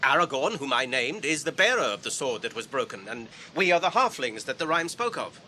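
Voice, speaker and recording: A polite guarded english hobbit in a radio play, bright voice, measured and articulate, bit of background noise. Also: male voice, mid-range voice, very animated. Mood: guarded, formal. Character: earnest, courteous hobbit traveller, guarded and dignified. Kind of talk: BBC radio drama acting.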